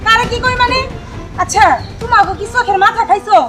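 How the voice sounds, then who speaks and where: Shrill, fiery village mother played by an actress. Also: quick pace. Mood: indignant. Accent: Bangladeshi. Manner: shrill scolding and wailing. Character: fiery, aggrieved village mother, protective and cursing. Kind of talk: melodramatic short-film acting.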